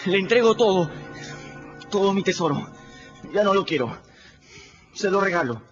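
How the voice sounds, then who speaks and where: A scrappy boy telling his own adventures in a radio drama. He is confiding and chatty, with lively swings in pitch. The sound is a bit muffled, over music. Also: moderate pace. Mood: urgent. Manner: conversational, confiding, addresses listeners directly. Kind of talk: first-person radio drama narration.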